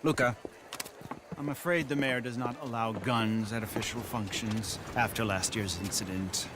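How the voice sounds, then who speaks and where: A man's voice: on the low side, fairly even intonation, normal speed, noisy background, lightly acted. A man playing a polite, formal party attendant in a video game drama. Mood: courteous. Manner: formal, courteous.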